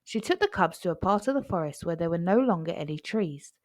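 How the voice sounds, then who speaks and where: A woman narrating a children's picture book in an expressive storytelling style. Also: lowish voice, fairly quick, dry recording.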